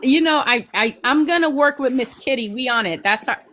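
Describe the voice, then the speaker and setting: A woman's voice: high-pitched, a little fast, fairly bright tone. A woman from the Midwest calling in to a talk show, speaking emphatically and driving her points home. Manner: emphatic, opinionated, punchy endings. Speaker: caller. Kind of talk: casual call-in chat.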